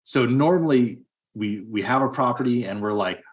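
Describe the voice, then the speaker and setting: A man's voice: medium pitch, normal speed. A man co-hosting a podcast, discussing movies casually in an emphatic, argumentative way. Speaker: podcast co-host.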